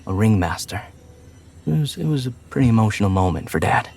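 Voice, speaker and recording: A young man voicing a sweet, earnest grown son, an animal character in a motion comic, gentle and a little hesitant, with a soft, breathy voice. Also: lowish voice, expressive, fairly quick, heavily acted. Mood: earnest, fond.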